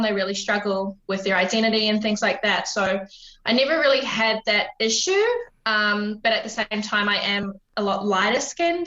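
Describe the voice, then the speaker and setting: Bright-voiced New Zealand woman in her twenties. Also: medium pitch, reverberant, muffled recording. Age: 20s. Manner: open, reflective, many "um" fillers. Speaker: podcast guest. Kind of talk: casual conversational storytelling.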